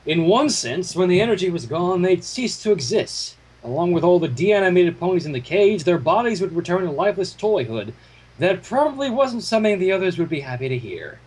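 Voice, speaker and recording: A man with a high, slightly rough voice narrates a story in a very animated way. He trips over words now and then and drops out of the reading to make joking asides. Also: brisk, poor audio. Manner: animated, stumbles and breaks off to comment. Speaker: fan fiction reader and narrator.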